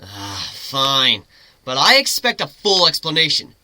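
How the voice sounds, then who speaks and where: A man's voice: mid-range voice, wildly swooping pitch, a little slow, pushed and bright, dry recording, heavily acted. A man voicing a brash, sarcastic young male griffin who leads with blunt, sardonic remarks. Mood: demanding.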